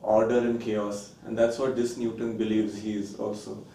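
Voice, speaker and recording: Soft-toned man, roomy distant sound. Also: fairly low pitch, little pitch movement, normal speed, creaky. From India. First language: Konkani. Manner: thoughtful, hesitant with fillers. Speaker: film director guest. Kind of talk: interview conversation. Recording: roomy, distant, faint noise.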